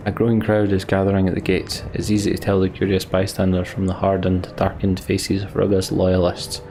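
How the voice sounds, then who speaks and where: A man's voice: sits low, completely flat delivery, a little fast, dark tone, soft background music. A man hosting a let's play, reading game narration aloud and adding his own commentary.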